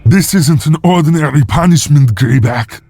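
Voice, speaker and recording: An actor playing an anxious, excitable young wolf-clan slave in a video game, with a pleading manner. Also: male voice, fairly high pitch, moderate pace. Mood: uneasy alarm. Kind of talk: video game cutscene acting. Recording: music behind.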